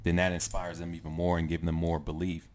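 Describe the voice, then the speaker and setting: A man in his thirties chatting casually and haltingly, often repeating his phrases as he goes. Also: sits low, monotone, a little mellow. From United States. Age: 30s. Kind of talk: casual chat.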